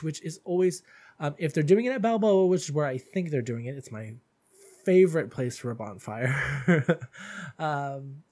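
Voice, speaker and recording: A man's voice: a little high, darkish tone. A man hosting a casual solo podcast, chatty and gushing, calling his listeners darlings, a little stuffy from allergies. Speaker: podcast host.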